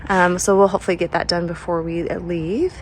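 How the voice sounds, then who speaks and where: A woman's voice: on the low side, flat delivery, brisk, slight background noise. A young woman with a sleepy voice, still getting over a cold, chatting casually as she walks through her front yard garden and laughs often. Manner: chatty, laughs often, trails off mid-sentence. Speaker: home and garden vlogger.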